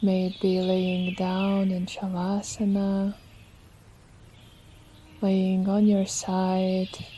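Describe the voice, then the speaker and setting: A woman leading a guided relaxation meditation as a yoga nidra teacher, with soothing, unhurried, gentle instruction. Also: mid-range voice, completely flat delivery, a little hiss or hum. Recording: nature ambience with birdsong behind. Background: birdsong and nature sounds.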